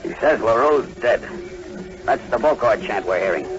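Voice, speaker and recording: An actor playing a grim, wary plantation manager in an emphatic, melodramatic old radio style. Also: male voice, mid-range voice, animated, normal speed, music in the background, natural voice. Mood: ominous. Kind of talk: 1940s radio acting. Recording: muffled, noisy old radio recording.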